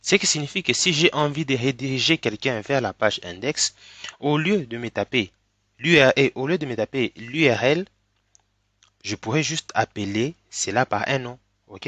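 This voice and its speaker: A man's voice: medium pitch, lively intonation, bright, forceful tone, dry recording. A man with a cold teaching a coding tutorial step by step, often checking in with "ok?". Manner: slow, step-by-step, frequent "ok?" checks. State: has a cold. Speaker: programming tutor. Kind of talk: screen-recorded coding tutorial.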